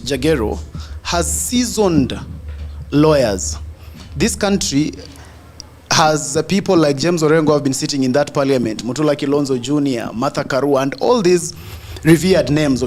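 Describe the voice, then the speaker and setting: A man with a Kenyan accent, a panel guest making a deliberate, rhetorical political argument. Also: a little high, a little mellow. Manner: deliberate, rhetorical, addresses the host directly.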